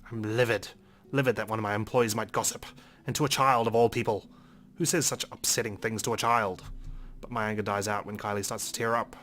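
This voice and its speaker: A man's voice: fairly low pitch, steady tone, a little fast, fairly bright tone. A man hosting a let's play, reading visual novel narration aloud expressively with wry asides, over faint game music.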